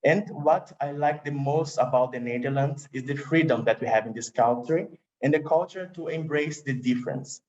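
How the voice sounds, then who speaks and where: A man's voice: mid-range voice, moderate pace, darkish tone, highs cut off. A man from Brazil with a Brazilian Portuguese accent, a recruiter presenting a webinar in an upbeat way and speaking to the audience as "guys". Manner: upbeat, addresses the audience as "guys", slightly non-native grammar.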